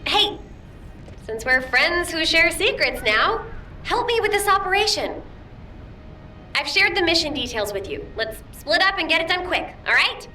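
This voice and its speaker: An actress playing a young, naive and cheeky female soldier in video game dialogue, talking in an expressive, informal, girlish way. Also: very high-pitched, measured pace, soft background music, full character voice. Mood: eager.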